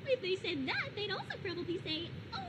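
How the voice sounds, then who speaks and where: A woman's voice: very high voice, big pitch swings, brisk. A woman voicing a bubbly, playful female puppet in a cartoon, with a teasing, exaggerated manner. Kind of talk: cartoon character voice acting. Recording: loud background noise.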